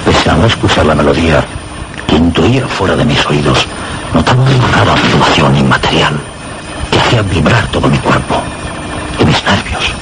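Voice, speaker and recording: An actor with a Castilian Spanish accent playing a shaken man who recounts a supernatural horror in halting, dramatic radio narration. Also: very deep voice, lively intonation. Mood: dread. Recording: very noisy radio drama soundtrack.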